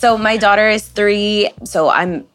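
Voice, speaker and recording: A young woman from the United States whose first language is Spanish, co-hosting a casual podcast with relaxed, short reactions. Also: medium pitch, a little slow. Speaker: podcast co-host. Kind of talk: casual chat.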